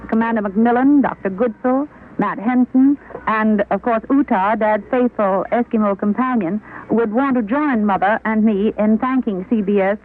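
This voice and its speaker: A woman's voice: a little high, a little hiss or hum. Formal middle-aged woman on tinny old radio. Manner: articulate, formal, measured phrasing. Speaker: interview guest. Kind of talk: formal 1940s radio interview. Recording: tinny vintage radio recording.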